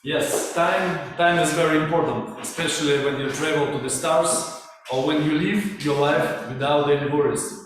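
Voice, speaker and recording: A man's voice: on the high side, fairly even intonation, moderate pace. A man with a Russian accent hosting a speaking club meeting, courteous and playful as he hands over the floor. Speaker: meeting host. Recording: distant, long-reverb hall sound with faint noise.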